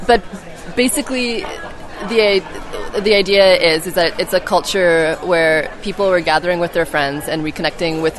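A young woman from Canada speaks casually in a slightly low voice, full of fillers and self-corrections. She is recorded outdoors in a city, with clear crowd chatter behind her. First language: Czech heritage, English first. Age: young adult. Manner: chatty, frequent "like" and restarts, laughs easily. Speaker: film's lead and graduate student guest. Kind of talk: casual interview chat.

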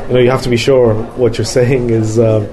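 A man who grew up in London, a film director answering interview questions in a halting way, with many fillers and restarts. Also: medium pitch, little pitch movement. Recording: crowd noise behind.

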